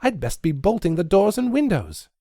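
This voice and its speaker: A young tavern lass with a high, lively voice pleads through sobs. A man puts her on in a storytelling reading. The sound is close and dry. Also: moderate pace, gravelly, dry recording. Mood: frightened.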